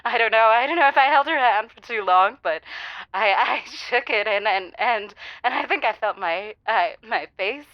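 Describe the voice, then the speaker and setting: A woman playing a shy, flustered caller in an audio drama, halting and stammering with a giggly, confessional delivery over a dull phone-in sound. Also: on the high side, expressive, no room sound, close to an everyday voice.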